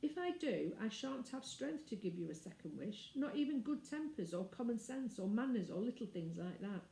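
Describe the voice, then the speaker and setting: A woman's voice: on the high side, very animated, normal speed, gravelly, mellow, slight background noise. A woman voicing a grumpy, offhand male sand fairy in a storybook reading. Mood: brisk. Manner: offhand, muttering, brusque. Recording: roomy indoor echo.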